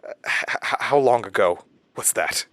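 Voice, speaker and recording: A man's voice: mid-range voice, moderate pace, fairly bright tone, close to an everyday voice. A man playing a haunted narrator in a horror audio drama, stammering and repeating his words as he recounts his story. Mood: shaken, panicked. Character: haunted, panicky young male radio-show narrator recounting horrors.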